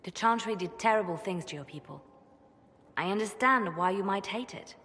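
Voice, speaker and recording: An actress playing a composed, devout, pragmatic spymaster in a video game, speaking in a measured, earnest way. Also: female voice, mid-range voice, fairly bright tone, close to an everyday voice. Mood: grave, insistent. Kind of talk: video game cutscene dialogue. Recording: long reverb, faint background noise.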